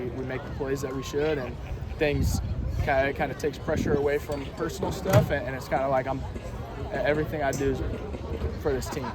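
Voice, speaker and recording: A young college baseball player answering postgame questions at a press conference, often repeating words as he begins a thought. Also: mid-range voice. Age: young adult. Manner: repeats words when starting phrases.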